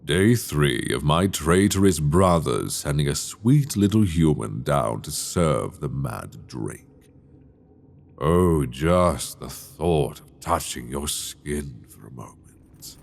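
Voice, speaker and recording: A man's voice: rumbling low, expressive, vocal fry, heavily acted. A man playing an imprisoned mad dragon king, seductive, obsessive and menacing, in an intimate, teasing, theatrical ASMR roleplay delivered close to the mic. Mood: sultry, obsessed. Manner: theatrical, teasing, drawn-out delivery with soft asides. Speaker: audio roleplay voice actor. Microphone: close binaural microphone.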